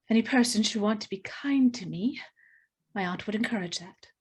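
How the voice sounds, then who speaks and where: A woman playing a husky, nervous, hesitant niece. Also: medium pitch, fairly quick. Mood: pleading. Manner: hesitant, earnest. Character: shy, nervous spinster niece, hesitant and hopeful. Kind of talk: screenplay table read.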